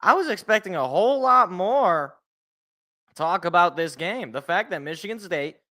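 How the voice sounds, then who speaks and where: Bright, slightly raspy American man. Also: high voice, lively intonation, moderate pace. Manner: rambling, jokey, repeats phrases for emphasis. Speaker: sports show host. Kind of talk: casual solo sports talk. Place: indoors at home.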